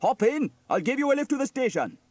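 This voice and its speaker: Man playing a high, cheery bus driver. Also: animated, unhurried, dry recording. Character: cheery, eager male bus driver and auxiliary fireman.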